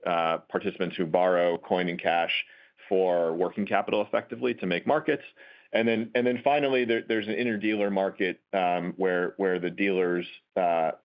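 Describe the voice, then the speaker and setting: Low-voiced man, halting executive on a muffled call. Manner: halting, explanatory. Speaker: company executive. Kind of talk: earnings call answer to analyst questions. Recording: dull, muffled conference-call audio.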